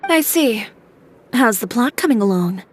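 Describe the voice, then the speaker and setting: A woman playing a guarded, confident young fashion designer in a video game, speaking in a brisk, matter-of-fact way. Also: a little high, animated, a little hiss or hum.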